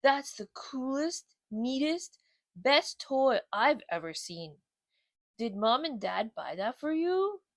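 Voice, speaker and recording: A woman's voice: high-pitched, big pitch swings, slow pace, no echo, full character voice. A woman voicing a lazy, skeptical male bulldog in a children's storybook, with a sluggish, drawling delivery. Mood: amazed, doubtful. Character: lazy, bored, slow-talking male bulldog, laid-back and skeptical.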